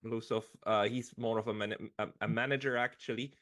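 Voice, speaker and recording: A man interviewing in a casual way, halting and repeating words as he forms his thoughts. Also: lowish voice, steady tone, fairly bright tone.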